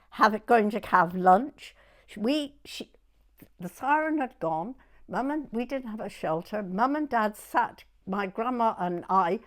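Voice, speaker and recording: A woman in her nineties with a southern English accent, reminiscing hesitantly in an interview, often repeating herself and trailing off. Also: medium pitch. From Weymouth, Dorset, England. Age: 90s. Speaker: oral history interviewee.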